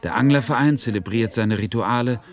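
A man's voice: mid-range voice, a little fast, a crowd in the background. A man delivering scripted narration as a radio reporter.

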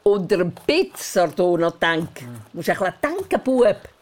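An older woman with a Swiss German accent playing a gruff, teasing village grandmother in a radio play, curt and matter-of-fact. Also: on the low side, very animated, normal speed, a little hiss or hum. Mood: impatient.

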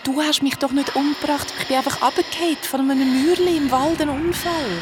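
Eine Schauspielerin mit Schweizer Färbung spielt in einem Hörspiel die verstörte Geistertochter, stockend und hauchig, mit Wortwiederholungen. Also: female voice, high voice, steady tone, noisy background, natural voice. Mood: confused, pleading. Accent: Swiss. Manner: halting, breathy, repeating words under strain. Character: young woman ghost, haunted, distressed daughter. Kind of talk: radio drama narration and dialogue.

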